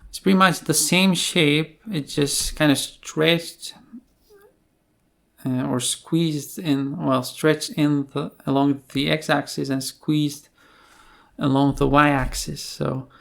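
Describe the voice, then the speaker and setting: A man giving an unscripted tutorial explanation in a halting way, with many filled pauses. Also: on the high side, fairly even intonation, gravelly, fairly bright tone. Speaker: programming tutorial presenter.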